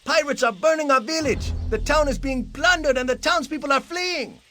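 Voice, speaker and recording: Frantic, high-pitched villager. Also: male voice, normal speed, a little hiss or hum. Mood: panicked. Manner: shouting, urgent. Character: panicked villager raising alarm about pirates. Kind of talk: video game voice acting.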